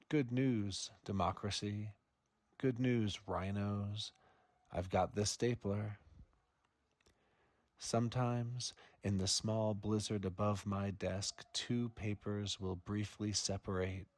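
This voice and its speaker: Low, unhurried man reading poetry. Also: darkish tone, no echo. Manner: measured, deliberate phrasing. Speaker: poet reading own work.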